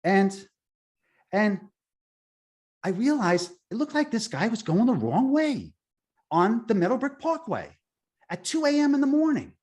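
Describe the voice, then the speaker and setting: A middle-aged man from Long Island with a Long Island accent, a guest speaker telling his own story haltingly, with many restarts and fillers. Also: very high-pitched, big pitch swings, a little mellow. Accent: Long Island New York. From Long Island, New York. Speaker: guest speaker, near-death experiencer. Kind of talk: personal storytelling.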